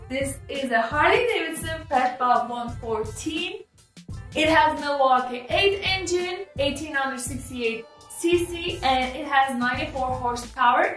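A woman's voice: high-pitched, sharp tone, roomy sound. A woman in her forties from Turkey with a Turkish accent, chatting upbeat to viewers as a vlogger, filmed from a distance in a room at home. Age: 40s. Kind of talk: casual vlog narration.

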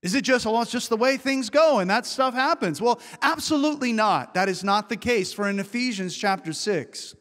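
A male pastor preaching a sermon, asking earnest rhetorical questions and slowing down to stress key words. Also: extremely high pitch, expressive, fairly bright tone, in a large hall. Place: church sanctuary.

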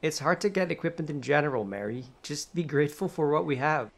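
A man's voice: on the high side, expressive, a little fast. A man playing an aloof, dismissive rebel in a sci-fi audio drama, blunt and nonchalant. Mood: dry, blunt. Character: aloof, dismissive rebel comrade named Mark.

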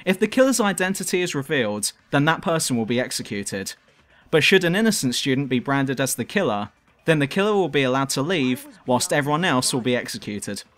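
A man's voice: mid-range voice, sing-song, brisk, fairly bright tone. A man reading scripted review narration as a video reviewer.

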